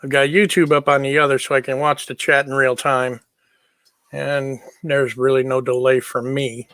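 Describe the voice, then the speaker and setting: A man's voice: medium pitch, little pitch movement, normal speed, a bit bright, no echo. A man chatting casually on a livestream, easygoing and informal as he replies to viewers. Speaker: livestream host.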